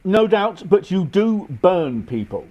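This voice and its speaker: A man acting a worldly medieval English aristocrat in a radio play. His urbane, sardonic lines rise and fall with extremely animated intonation. He stays polite and cynical in a theatrical style. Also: high voice, takes it slowly, highs cut off, exaggerated voice. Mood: smooth, sardonic.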